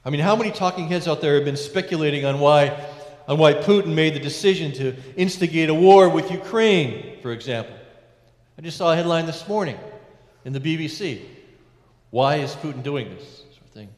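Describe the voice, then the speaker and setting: A middle-aged man, a priest, preaching a sermon from a lectern in a large church, measured with emphatic rises and hushed endings. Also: fairly high pitch. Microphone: lectern mic, far camera. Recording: echoey church with long reverb.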